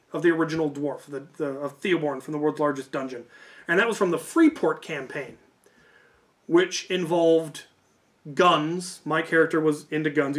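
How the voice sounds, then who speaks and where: A man telling stories casually as a video host, in an informal, hedging way that often corrects itself. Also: on the high side. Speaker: YouTube host. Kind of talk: casual rambling storytelling.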